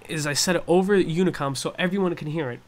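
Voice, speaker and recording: A man's voice: on the high side, fast. A man giving a casual flight simulator tutorial, explaining each step in an offhand, hesitant way. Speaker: gaming tutorial host.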